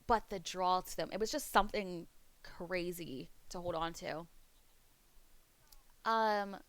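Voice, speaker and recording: A woman streamer chatting casually on a live webcam stream, rambling, laughing often, and going hoarse as she loses her voice. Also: fairly low pitch, slow, a bit bright, dry recording. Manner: rambling, laughs often, reads chat aloud. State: losing her voice. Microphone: desk mic on a webcam stream.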